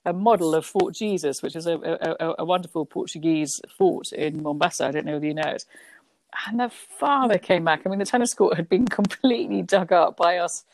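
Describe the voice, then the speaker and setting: Chatty woman, lively anecdotes, dry sound. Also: lowish voice, a little fast, gravelly, no echo. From Kenya. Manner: lively, laughs often, many restarts. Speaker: guest, company founder. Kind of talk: casual conversational interview.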